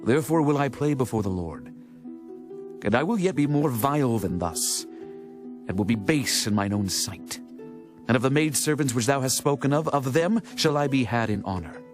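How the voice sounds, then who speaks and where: A man's voice: mid-range voice, expressive, normal speed, natural voice. A man playing a proud, devout king in a dramatized scripture reading, earnest and declamatory. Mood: proud, defiant. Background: music.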